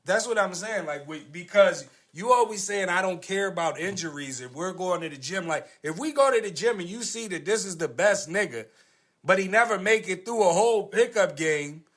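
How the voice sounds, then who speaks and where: Podcast host: American man, voice a touch high, slangy and conversational, steers the group by asking questions. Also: animated, normal speed, fairly bright tone. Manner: slangy, prompting co-hosts with questions. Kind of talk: casual podcast chat.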